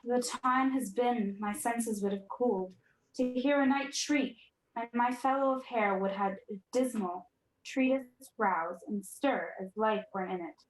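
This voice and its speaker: A woman's voice: fairly high pitch, unhurried, lightly acted. A young woman playing a defiant, imperious tyrant king in a radio Shakespeare play, declaiming verse in a commanding manner. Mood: grim, hardened. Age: young adult. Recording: echoey room.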